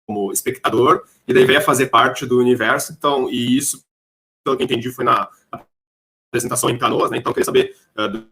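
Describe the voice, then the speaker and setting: Um homem com sotaque do sul do Brasil entrevistando de modo informal, montando as perguntas aos pedaços. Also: male voice, medium pitch, steady tone, quick pace, pushed and bright. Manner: rambling, piecemeal questions. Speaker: podcast interviewer. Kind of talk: informal interview questioning.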